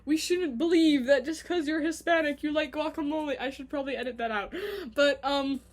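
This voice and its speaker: Giggly Canadian young woman, high voice. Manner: chatty and self-mocking, quick giggly asides. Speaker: vlogger. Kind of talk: rambling casual monologue to camera.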